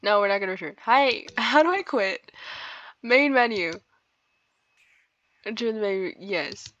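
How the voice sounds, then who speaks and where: Low-voiced woman, dull lo-fi recording. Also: animated, a little slow, fairly bright tone, no echo. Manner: giggly, chatty, informal asides. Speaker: let's play host. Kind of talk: casual game commentary with some read-aloud narration. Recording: dull lo-fi mic, music behind. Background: game music.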